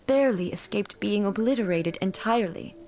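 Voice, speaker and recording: An actress playing a stately, battle-worn immortal female ruler in a video game, speaking in a formal, measured, grave manner. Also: medium pitch, darkish tone, lightly acted. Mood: grave, haunted. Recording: game audio with background music.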